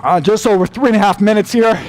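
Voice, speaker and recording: A young man coaching a follow-along running workout, encouraging and a little out of breath, his voice going hoarse. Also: high-pitched, fast talker, darkish tone. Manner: encouraging, talks while running on a treadmill. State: losing his voice.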